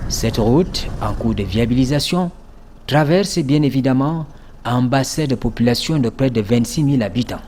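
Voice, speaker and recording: A man's voice: medium pitch, animated, moderate pace, a little mellow, a little hiss or hum. A man narrating a scripted news report as a reporter.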